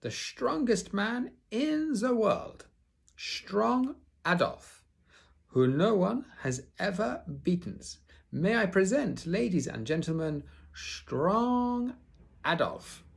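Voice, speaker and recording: A booming foreign ringmaster. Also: male voice, big pitch swings, slow, gravelly, heavily acted. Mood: grandiose. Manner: booming showman patter, broken grammar. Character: pompous foreign circus ringmaster man, bellowing.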